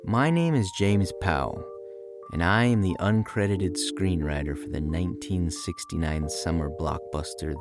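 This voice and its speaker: An actor playing a bitter, self-important older screenwriter, delivering an aggrieved and confiding dramatic monologue. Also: male voice, low-pitched. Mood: bitter, proud. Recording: loud music under the voice.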